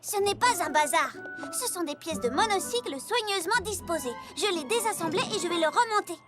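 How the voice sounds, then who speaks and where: A woman's voice: very high voice, lively intonation, a little fast. A woman voicing a brash, impatient little tomboy pony with a blunt childlike manner in a French cartoon dub. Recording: music bed under the voice.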